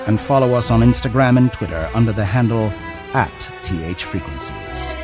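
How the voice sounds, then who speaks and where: A man reading scripted podcast credits as an announcer. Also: lowish voice. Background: music.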